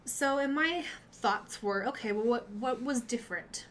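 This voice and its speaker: A woman's voice: fairly high pitch, measured pace, fairly bright tone, slight background noise. A young woman with a stuffy nose who sounds nasal, chatting candidly to her viewers over a webcam at home. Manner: chatty, candid, laughs at herself. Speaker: gamer and streamer. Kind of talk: casual chat to viewers.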